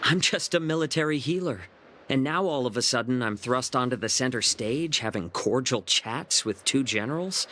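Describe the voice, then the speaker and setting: A man's voice: medium pitch, lively intonation, moderate pace, sharp tone, a little hiss or hum. A man playing a wry, eloquent young military healer in a video game, articulate and theatrical. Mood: wry, put-upon. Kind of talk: scripted video game voice acting. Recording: game music underneath. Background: background music.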